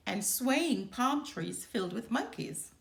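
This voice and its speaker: A woman's voice: on the high side, big pitch swings, takes it slowly, vocal fry, echoey room, voice pushed up, exaggerated voice. A woman voicing a boastful, grumpy young girl bear cub in a storybook, always one-upping with emphatic delivery. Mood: smug.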